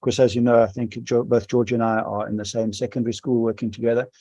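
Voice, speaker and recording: A man from South Africa with a South African accent, leading an online workshop, speaking haltingly with many pauses and restarts. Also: medium pitch, level tone, dark tone. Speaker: school administrator leading a workshop. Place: home or office on a video call. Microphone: video call microphone.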